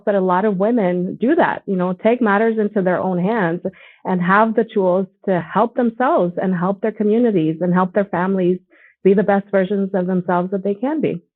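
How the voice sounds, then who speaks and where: A woman in her forties, not a native English speaker, talks with earnest, upbeat conviction. Her voice sits at an ordinary middle pitch. It comes through thin and boxy, like a phone line. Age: 40s. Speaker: nonprofit ambassador. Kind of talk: conversational discussion.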